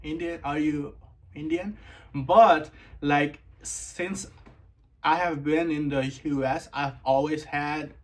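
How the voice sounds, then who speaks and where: Slow-talking young man from Nepal. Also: fairly high pitch. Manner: relaxed, filler-heavy, says "like" often. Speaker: guest. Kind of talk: casual interview chat.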